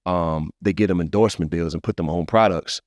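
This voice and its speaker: A man with a very low voice hosts a casual podcast conversation. He speaks earnestly and emphatically in slangy phrases, often circling back to repeat himself. The sound is dry, with very little room on it. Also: a little fast, a little mellow, dry recording, dull audio. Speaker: podcast host.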